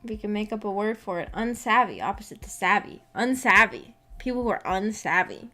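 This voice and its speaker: A woman's voice: mid-range voice, animated, fairly quick, bright, forceful tone. A young adult woman chatting casually on a livestream, thinking aloud in a hesitant, rambling, slightly giggly way. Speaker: streamer reading and answering live chat. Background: background music.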